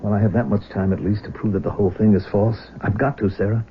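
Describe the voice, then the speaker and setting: An actor in a 1970s radio drama playing a middle-aged, protective former soldier, earnest, emphatic and halting. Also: male voice, lowish voice, flat delivery, close to an everyday voice. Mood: anxious, resolved. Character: protective father, former soldier, agitated but determined. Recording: dull, noisy old radio broadcast.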